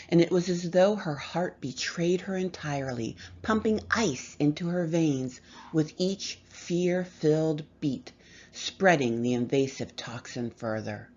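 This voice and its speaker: A woman reading a horror story aloud with measured, expressive delivery and dramatic pauses. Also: deep voice, dull audio. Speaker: story reader.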